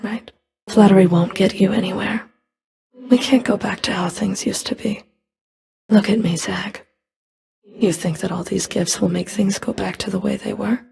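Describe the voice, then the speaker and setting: A woman playing a stern, scornful immortal underworld enforcer in a video game, speaking in a cold, curt, guarded way with a gravelly edge. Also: mid-range voice, a little mellow, lightly acted. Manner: cold, curt, disdainful, deliberate threats.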